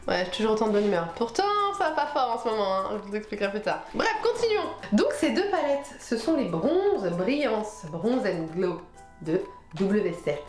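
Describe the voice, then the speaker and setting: A woman's voice: mid-range voice, very animated, normal speed, a little mellow. A woman with a head cold chatting informally through a casual makeup tutorial as a beauty vlogger, talking while she works. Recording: roomy echo with music behind.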